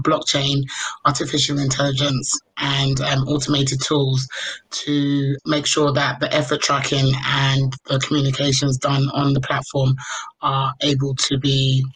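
A man speaking as a company founder, giving a business pitch on an online panel. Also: a bit bright, low-quality recording. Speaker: company founder and chief executive.

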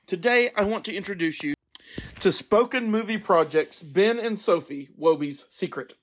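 Male podcast host, forties, high-pitched voice, scripted introductions. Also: normal speed, fairly bright tone, a little hiss or hum, rough recording. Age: 40s.

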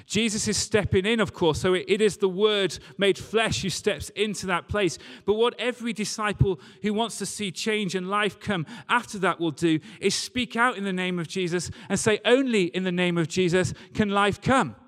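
A man's voice: high voice, moderate pace, hardly any pauses, a bit bright. A man preaching a church sermon in an earnest, emphatic way, repeating key phrases. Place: large reverberant church or hall. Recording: long hall reverb.

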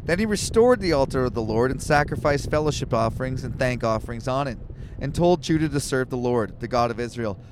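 A man's voice: mid-range voice, lively intonation, fairly quick, noisy background. A man co-hosting a podcast in a moving car, reading scripture aloud and joking about it with irreverent, swearing asides. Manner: joking, swears freely, reads passages then riffs.

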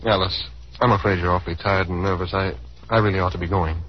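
Low-voiced, uneasy gentleman suitor, played by an actor. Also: fairly even intonation, fast, lightly acted. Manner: hesitant, polite. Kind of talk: 1930s radio acting. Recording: muffled, noisy 1930s radio broadcast.

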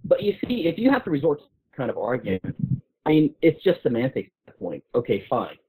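A man's voice: mid-range voice, big pitch swings, mellow, breathy edge. A man arguing points informally in an intellectual discussion as a guest, often repeating words as he builds his case. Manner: halting, repeats words while building arguments. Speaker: guest speaker on a discussion show. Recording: muffled narrow phone-line sound.

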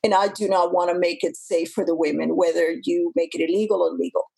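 Interview guest: woman, early fifties, husky voice, earnest, halting, restarts a lot. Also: lowish voice, fairly even intonation, dark tone. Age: 50s. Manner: earnest, many restarts and fillers. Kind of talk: interview talk.

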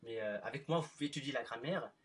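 Man, podcast host, casual, relaxed, lots of mhm backchannel. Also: medium pitch, quick pace. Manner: relaxed, frequent backchannel murmurs. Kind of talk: casual conversation.

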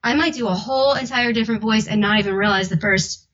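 A woman's voice: mid-range voice, fast talker, a bit bright, dull audio. A woman narrator with a young-sounding voice who chats casually, laughing often and using lots of fillers. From New Jersey. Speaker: audiobook narrator guest. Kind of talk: casual podcast interview. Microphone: home recording booth.

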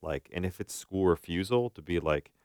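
Male co-host, extremely deep voice, dry close sound, reflective and conversational delivery. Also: expressive, normal speed, darkish tone, no room sound. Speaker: podcast co-host, therapist. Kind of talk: casual conversational discussion.

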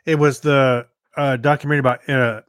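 A man's voice: mid-range voice, fairly even intonation, brisk, a bit bright, clear, resonant tone, dry recording, studio quality. A man co-hosting a podcast, chatting casually and explaining things in a loose, rambling way. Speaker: podcast co-host. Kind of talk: casual chat.

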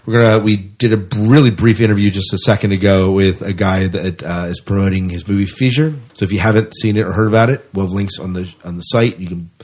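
A man's voice: low-pitched, fairly even intonation, fast talker, few pauses, darkish tone. A man co-hosting a podcast in casual, rambling chat, often repeating his phrases. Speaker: podcast co-host.

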